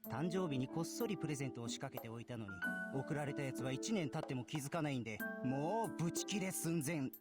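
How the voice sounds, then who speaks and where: A schoolboy who talks like an old samurai, as a male actor plays him in a visual novel, theatrical and grandly archaic. He rattles his lines off very fast. Loud game music plays under him. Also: medium pitch, expressive, natural voice. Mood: knowing. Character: teen male student talking like an old samurai. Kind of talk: Japanese visual novel voice acting.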